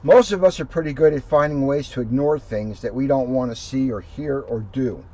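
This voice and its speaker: A man's voice: medium pitch, normal speed, warm tone. A man, a police officer, reading a children's book aloud and explaining it in simple terms. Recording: dull lo-fi sound, faint background noise.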